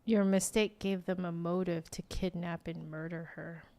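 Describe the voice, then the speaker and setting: Girl streamer reacting to game story, kinda low voice, offhand guesses. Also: fairly even intonation, moderate pace, a little mellow, clear, resonant tone. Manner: offhand, speculative. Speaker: gameplay streamer. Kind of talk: casual gameplay commentary.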